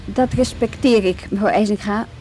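Actress doing a polite but persistent lady cop in a radio play, gentle mellow tone, kinda hesitant, light noise behind. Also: medium pitch, normal speed, natural voice, rough recording. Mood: earnest, persistent. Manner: tentative, hesitant, earnest. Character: polite, persistent juvenile police officer, a woman. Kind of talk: Dutch radio drama acting.

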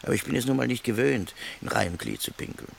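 Creaky, grumbling vagabond. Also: male voice, mid-range voice, big pitch swings, fast, full character voice. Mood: matter-of-fact, arguing. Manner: grumbling, colloquial, mocking. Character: gruff, sarcastic vagabond man, grumbling and stubborn. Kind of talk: German radio play acting.

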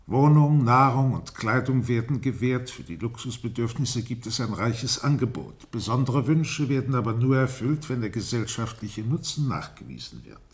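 A man playing a formal, firm spokesman of a community in a scripted German radio play, speaking deliberately, courteous but insistent. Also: medium pitch, lightly acted. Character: formal, firm male spokesman of a primitive tower-obsessed community.

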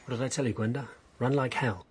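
An actor playing a provocative, cynical husband who needles people, in a radio drama. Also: male voice, mid-range voice, steady tone, quick pace, heavy background noise, close to an everyday voice. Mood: needling.

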